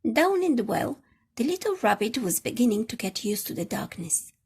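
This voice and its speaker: A woman reading a children's picture book aloud as an expressive storyteller. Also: fairly high pitch, moderate pace, husky.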